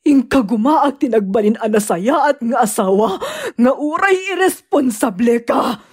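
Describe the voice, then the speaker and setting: A woman's voice: a little high, expressive, unhurried, darkish tone, husky. A woman with an Ilocano accent playing an anxious, long-suffering betrayed wife and mother in a radio-style drama. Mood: anguished, furious crying.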